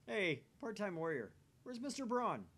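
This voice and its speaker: A man's voice: big pitch swings, normal speed, fairly bright tone, heavily acted. A man voicing a grandiose, bossy young self-styled mad scientist with theatrical, pompous declarations for a visual novel. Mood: cocky. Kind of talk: visual novel character acting. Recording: faint rumbly background noise.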